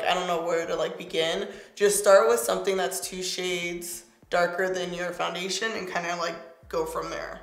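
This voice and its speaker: Chatty young woman, a little hoarse. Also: on the low side, fairly bright tone, roomy sound. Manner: chatty, playful, talks while applying makeup. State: slightly hoarse, squeaky voice. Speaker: beauty vlogger. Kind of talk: casual makeup tutorial chat. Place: home studio, pink backdrop.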